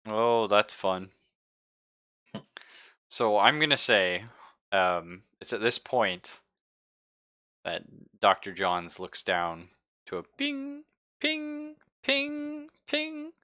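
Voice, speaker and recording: A man acts as game master in a casual tabletop session. His voice is very low yet bright, recorded dry and close. He narrates informally, with jokes and swearing. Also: big pitch swings, drawn-out words, long pauses, clean tone, dry recording.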